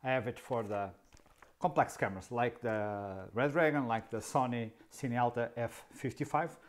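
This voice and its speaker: A man in his fifties with a Portuguese accent, a native Portuguese speaker, explaining camera gear in a dry, sarcastic way, seated in a dark studio. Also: medium pitch, moderate pace. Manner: wry, sarcastic, asks himself questions. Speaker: camera video host. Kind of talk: casual tech explainer.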